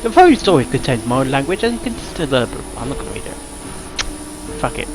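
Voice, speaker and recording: A man's voice: medium pitch, sing-song, fast, music in the background, low-quality recording. A man hosting a reaction video with casual commentary.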